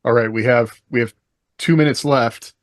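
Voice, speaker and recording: A man's voice: mid-range voice, normal speed, no room sound, studio quality. A man hosting a casual podcast discussion, talking informally in loosely strung thoughts peppered with "like". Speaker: podcast host.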